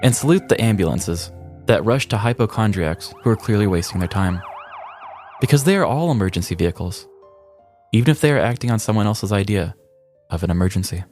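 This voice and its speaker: A man's voice: lowish voice, expressive, fairly quick, darkish tone, soft background music. A man co-hosting a podcast, narrating a scripted comedic true-crime story. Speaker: podcast co-host.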